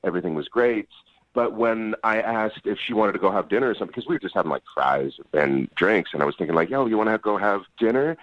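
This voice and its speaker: A man's voice: lowish voice, lively intonation, fast, dry recording. A man calling in to a radio show, chatting casually in a slangy, self-deprecating and jokey way. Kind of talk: casual chat. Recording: dull phone-call audio.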